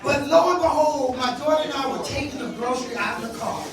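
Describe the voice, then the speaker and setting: A woman comedian from South Carolina with a deep voice, doing a loud, punchy stand-up set and working the crowd. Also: quick pace, recorded from a distance. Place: comedy club stage. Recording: echoey live venue, mic heard from afar, crowd laughter. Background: audience laughing.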